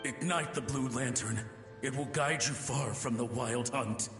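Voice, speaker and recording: A man's voice: medium pitch, a little slow. A man voicing the ghost of a doomed soldier, anguished and valiant, in a dramatic video game. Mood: grave warning. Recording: long ghostly reverb, loud music underneath.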